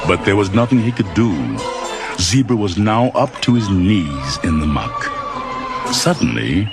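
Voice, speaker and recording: Casting: male audiobook narrator for children's tales, deep and expressive, dramatic delivery, over loud music. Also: crowd noise. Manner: dramatic, deliberate storytelling. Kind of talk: scripted children's storybook narration. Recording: loud music and animal sounds behind.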